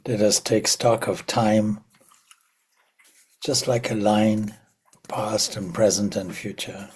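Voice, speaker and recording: A man leading a guided meditation in a slow, prayerful way, letting phrases trail off into soft whispers. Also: lowish voice, fairly even intonation, husky. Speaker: meditation teacher.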